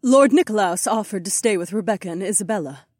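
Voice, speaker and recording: A man voicing a confident, encouraging woman in a fantasy audiobook, assured and gently insistent. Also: high-pitched, fast, dry recording, clean studio sound, voice pushed up. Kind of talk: audiobook character dialogue.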